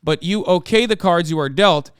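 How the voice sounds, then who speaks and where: A man's voice: sits high, normal speed, smooth, no echo, clean studio sound, lightly acted. A man voicing a frank, wry Icelandic entrepreneur with a put-on Icelandic accent, reading a written statement aloud. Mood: earnest.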